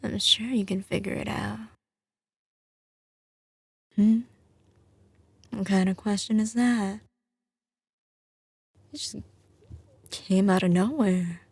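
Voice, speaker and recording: Slow-drawling Southern mafia girlfriend, played by a woman. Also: medium pitch, fairly even intonation, darkish tone, breathy edge, dry recording, clean studio sound. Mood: tender, teasing. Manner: slow, drawling, teasing endearments. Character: doting, tough mafia heiress girlfriend, young woman. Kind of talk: ASMR roleplay, intimate scripted monologue to the listener.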